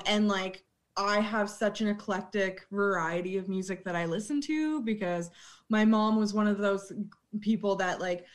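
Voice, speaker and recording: A young woman, a former bartender, chatting as a podcast guest, blunt and swearing freely as she laughs at herself. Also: mid-range voice. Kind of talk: casual interview chat.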